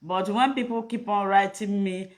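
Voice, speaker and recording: A woman's voice: medium pitch, normal speed. Middle-aged Nigerian woman, Igbo first language, rambling live health host with direct appeals to viewers. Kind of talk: casual live video talk.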